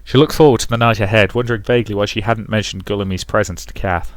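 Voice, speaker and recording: A man's voice: fairly low pitch, fairly even intonation, quick pace, dry recording. A man narrating a visual novel playthrough, reading the story aloud and adding casual commentary, with a rambling way of talking. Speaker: let's player and narrator.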